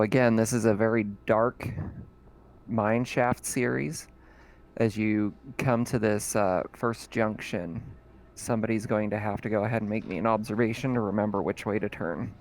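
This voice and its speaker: Gravelly male game master. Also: fairly low pitch, a little hiss or hum. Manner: laughs often, fills pauses with "uh" and "um". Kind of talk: narrating a tabletop game.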